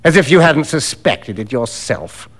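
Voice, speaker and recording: A man voicing a cynical, dapper young doctor in a story, brisk, worldly and sneering. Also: sits high, sing-song, voice pushed up.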